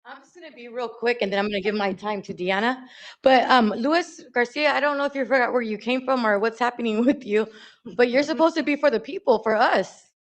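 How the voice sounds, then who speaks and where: A woman makes a short, quick public comment. Her remarks are pointed, and her voice sits somewhat high.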